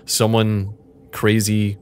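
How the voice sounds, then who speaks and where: A man's voice: fairly low pitch, completely flat delivery, slow pace, a little hiss or hum. A man hosting a podcast as game master, mixing casual chat with dramatic interactive fiction narration.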